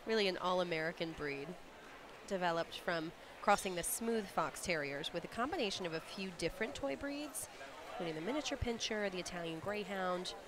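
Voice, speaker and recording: A mid-pitched co-commentator feeds breed history into live dog show coverage, informative and quick to laugh. Heavy crowd noise sits under the voice throughout. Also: animated.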